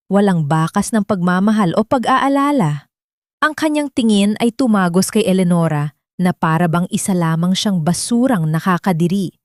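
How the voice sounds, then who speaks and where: Woman narrator telling a dramatic story, medium pitch, very dry sound. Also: a little mellow, no echo.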